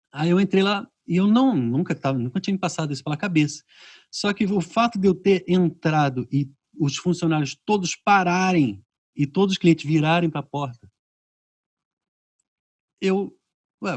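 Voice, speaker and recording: A man's voice: on the high side, dry recording. A Brazilian man hosting a podcast over a video call, telling stories casually and acting out reactions. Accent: Brazilian Portuguese. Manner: animated storyteller, acts out reactions. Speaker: podcast host.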